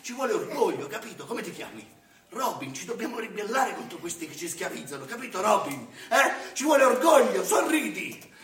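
A frazzled, short-tempered Santa Claus, as a man acts him out on stage, his voice high and sugary before it snaps into shouting. The sound is distant and roomy, caught from a live stage with a noisy background. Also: very animated, a little fast. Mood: exasperated. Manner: sugary coaxing that tips into shouting. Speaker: stage actor in a comic monologue. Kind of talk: live theatre comedy monologue. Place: theatre stage.

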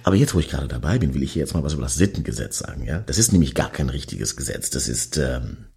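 An actor playing a crude, grumbling, pedantic clubgoer in a satirical radio play, blunt and opinionated. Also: male voice, rumbling low, lively intonation, quick pace, vocal fry, a little mellow, breathy edge, exaggerated voice. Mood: rambling.

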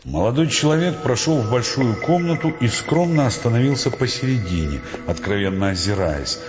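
A man narrating a literary audio play in an expressive, scripted storytelling style, recorded with reverb and music underneath. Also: mid-range voice, normal speed, muffled recording.

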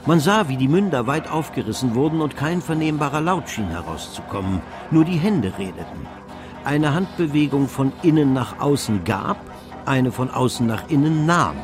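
Male narrator, medium pitch, over music. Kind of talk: scripted literary narration in radio drama.

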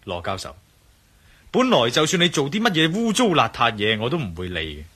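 An actor playing a menacing hero with a gun in a radio drama, commanding and sardonic, with a Hong Kong accent. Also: male voice, mid-range voice, huge pitch swings, brisk, bright, forceful tone, husky, heavily acted. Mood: cold menace. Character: menacing male hero with a gun, demanding answers. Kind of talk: Cantonese radio drama acting.

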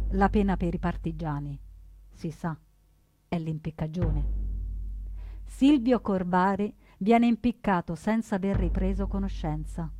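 A woman delivering solemn, emphatic scripted narration for radio theatre, with deliberate dramatic phrasing. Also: fairly low pitch, darkish tone. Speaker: actress and narrator.

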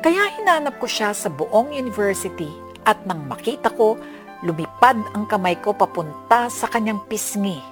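An actress voicing an aggrieved young woman who narrates her own story in an expressive, earnest radio-drama style. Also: medium pitch, normal speed, music in the background, natural voice.

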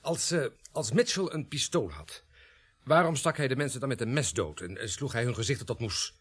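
Husky, hesitant young-ranking police constable. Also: male voice, mid-range voice, animated, brisk. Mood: hesitant, puzzled. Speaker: actor in a radio play.

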